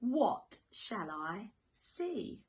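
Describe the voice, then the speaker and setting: A woman teacher reading a picture book to young children in an expressive sing-song way, with questions, gasps and hushed asides. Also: mid-range voice, very slow, a little hiss or hum. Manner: animated, questioning, dramatic gasps and hushed near-whispers.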